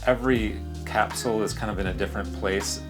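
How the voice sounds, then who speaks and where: A man's voice: fairly low pitch, steady tone, fast talker, music in the background. A middle-aged American man talking casually in a studio control room, explaining with easy fillers. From United States. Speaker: platform developer, interviewee. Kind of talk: casual conversation.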